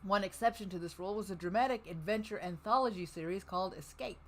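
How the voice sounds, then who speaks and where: A woman hosts a livestream devoted to old-time radio. Her delivery is casual and conversational, shifting at times into read-out introductions, at a middling pitch. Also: quick pace. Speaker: host of an old-time radio listening stream. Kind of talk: casual livestream chat, partly reading show notes.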